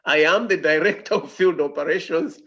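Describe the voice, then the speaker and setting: Gravelly middle-aged man from Malawi. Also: on the high side, brisk, fairly bright tone. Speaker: co-founder and director of field operations. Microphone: video call. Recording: dull lo-fi call audio.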